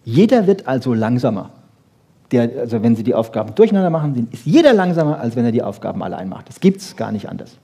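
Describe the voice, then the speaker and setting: A man's voice: mid-range voice, big pitch swings, fast, dark tone, slightly rough. A man giving a colloquial science lecture, emphatic, with rhetorical questions and frequent little check-ins to his listeners.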